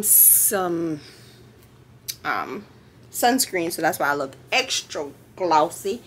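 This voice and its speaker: A woman's voice: on the low side, fairly bright tone, a little hiss or hum. A young adult woman vlogging casually to her viewers, chatty and upbeat, filmed on a camera held at arm's length. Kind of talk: casual vlog chat to camera. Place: car, bedroom and patio in a hot place.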